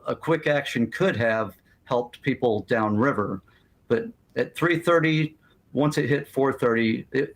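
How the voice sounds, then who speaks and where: Man with a medium-pitched voice. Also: vocal fry. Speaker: interviewee, local emergency radio expert. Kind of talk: plain-spoken interview answers.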